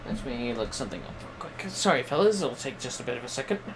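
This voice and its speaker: A man hosting a game livestream, chatting casually and haltingly as he muses to himself. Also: medium pitch, very animated, background noise. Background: music.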